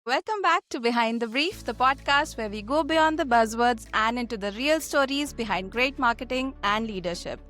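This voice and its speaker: A woman's voice: on the high side, animated, moderate pace, hardly any pauses, a bit bright. A woman hosting a podcast, giving prepared introductions and asking interview questions. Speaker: podcast host.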